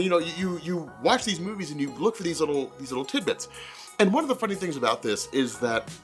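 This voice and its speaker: Fast-talking congested man explaining comics. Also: mid-range voice, a bit bright. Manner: rambling, conversational, repeats phrases and says like often. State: congested from a cold. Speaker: comics explainer video host. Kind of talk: casual excited fan commentary.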